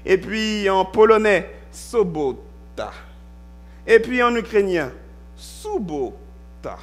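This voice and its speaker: A male pastor preaching a sermon in a church, stressing key words and drawing them out, at times rising to a shout. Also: high voice, big pitch swings, takes it slowly.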